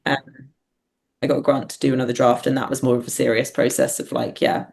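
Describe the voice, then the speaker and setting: A woman's voice: very deep voice, flat delivery, fast, vocal fry, darkish tone. A woman talking casually and reflectively as an interview guest, hesitant and self-deprecating as she thinks aloud. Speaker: podcast guest, writer and performer.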